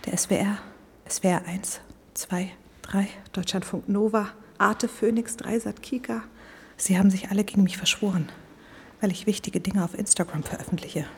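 An actress in a radio play voicing a paranoid woman psychiatric patient, earnest and conspiratorial, in a secretive, hushed half-whisper. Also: mid-range voice, steady tone, normal speed, husky. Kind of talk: modern radio play acting.

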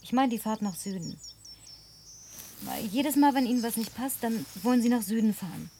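An actress dubbing a woman who presses her companion with quiet, insistent questions. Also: a little high, expressive, moderate pace, slight background noise. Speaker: lead character in a dubbed film. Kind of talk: dubbed film acting.

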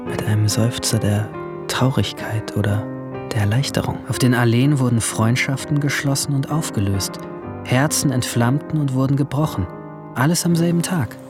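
A withdrawn seventeen-year-old boy acted by a man tells his story in a radio drama with clear music behind him. His voice sits at a middle male pitch, and he is introspective, brooding and short with words. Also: normal speed, natural voice. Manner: introspective, terse, awkward in dialogue. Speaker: narrator and main character. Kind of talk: first-person radio drama narration.

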